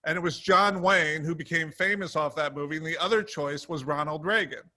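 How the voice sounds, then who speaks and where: A man with a somewhat high, very bright and forward voice talks as a panel guest. He recounts behind-the-scenes writing stories in a casual, anecdotal style. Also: a little fast. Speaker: television writer and producer, panel guest.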